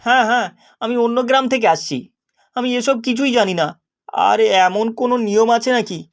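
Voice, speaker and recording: A man's voice: very high voice, fairly quick. A man voicing a naive, discontented young fisherman, earnest and plaintive, in a cartoon story. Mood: bewildered. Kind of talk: animated story character acting.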